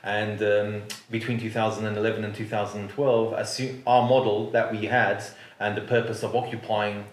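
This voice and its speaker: A man in his thirties hosting an interview, earnest and quick to agree with his guest. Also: on the low side, flat delivery, roomy sound. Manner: earnest, affirming, repeats agreement. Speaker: interviewer host. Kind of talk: conversational interview. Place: office lounge, indoors.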